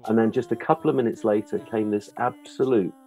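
Low-voiced middle-aged man, enthusiastic interviewee. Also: steady tone, fairly quick, mellow, husky, soft background music. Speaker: interviewed film director. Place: home room lined with records. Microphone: video call.

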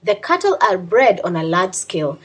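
A woman's voice: fairly low pitch, big pitch swings, normal speed. A woman reading scripted narration for a documentary. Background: music.

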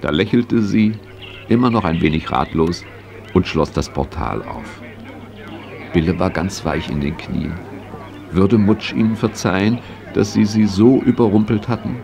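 An actor narrating a children's horse story as storyteller in a German audio drama. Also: male voice, low-pitched, unhurried, gravelly, a crowd in the background. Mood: lively.

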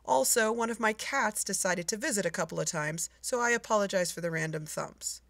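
A woman with a mid-pitched, creaky voice reads a podcast introduction. It is scripted and recorded close and dry. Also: a little fast, fairly bright tone, no room sound. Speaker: podcast creator giving an introduction.

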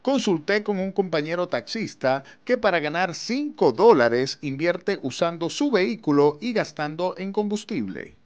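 A man's voice: high voice, big pitch swings, dull audio. Formal male news narrator. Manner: measured, formal reading. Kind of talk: scripted news voice-over.

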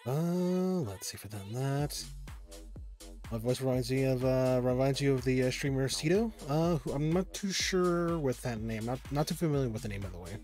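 A man's voice: medium pitch, expressive, normal speed, a little mellow, soft background music. Rambling young male game streamer. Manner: rambling, stop-start, swears under his breath. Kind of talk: casual live-stream chat while gaming.

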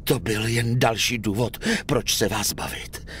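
An actor playing a cold, middle-aged gang boss, formal, composed and defiant, in a dubbed crime game. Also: male voice, fairly low pitch, fairly bright tone, slight background noise, natural voice. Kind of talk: dramatic dubbed video game acting.

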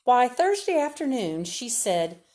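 A woman's voice: on the high side, big pitch swings, unhurried, darkish tone, pitched above the performer's own voice. A woman reader voicing a prim, boastful neighbor mother bragging about her child.

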